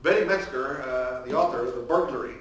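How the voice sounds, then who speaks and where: A man's voice: mid-range voice, brisk, a little hiss or hum. An older man, a professor speaking on a panel without a microphone, projecting a big voice and restarting his sentences often. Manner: loud projecting voice with many restarts and fillers. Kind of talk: unmiked panel talk, conversational lecturing. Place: large echoey hall, speaking without microphone. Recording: roomy echo, distant from the mic, faint ambience.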